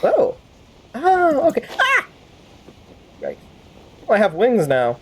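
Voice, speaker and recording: A woman's voice: a little high, huge pitch swings, takes it slowly, noisy background. A woman streaming a game with casual, rambling, playful commentary and sudden exclamations. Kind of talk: casual game commentary with in-character fan-dub banter.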